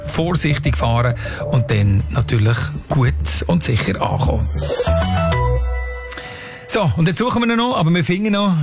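Swiss German radio man over music. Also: mid-range voice. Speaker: radio host. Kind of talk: live radio presenting, ad-libbed. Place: radio studio. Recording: music playing behind the voice.

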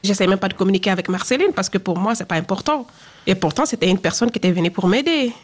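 A woman's voice: mid-range voice, lively intonation, fast talker. Guinean-accented woman telling her story. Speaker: interviewee. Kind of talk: personal testimony in an interview.

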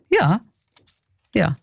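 A woman's voice: medium pitch, vocal fry, background noise. Earnest clown woman. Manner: thoughtful, earnest, laughs often. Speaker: clown performer. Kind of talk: interview reflections.

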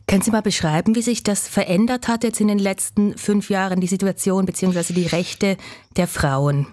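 A woman hosting a news podcast, narrating calmly and reflectively into a studio microphone. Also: medium pitch, normal speed, slight background noise. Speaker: podcast host.

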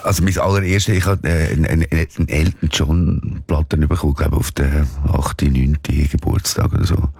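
A male musician with a Swiss German accent, speaking haltingly and searching for words as an interview guest. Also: a bass voice, fairly even intonation, gravelly, a little mellow, slightly rough, no echo, clean studio sound. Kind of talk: casual interview conversation.

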